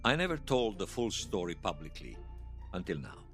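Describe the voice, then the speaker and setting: An actor playing a gentle, wistful older widower in an audio drama, teasing and tender, with a hesitant, stammering delivery. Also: male voice, low voice, huge pitch swings, moderate pace, exaggerated voice. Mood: wistful reminiscence. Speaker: interviewee telling his life story. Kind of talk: audio drama acting, personal reminiscence. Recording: music behind the voice.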